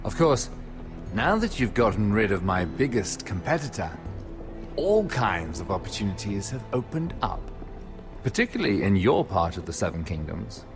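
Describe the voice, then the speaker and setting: An actor playing a scheming, wealthy merchant lord in a story game, with silky, mocking, falsely friendly speech. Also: male voice, lowish voice, very animated, moderate pace, music in the background. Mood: smug. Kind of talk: video game voice acting.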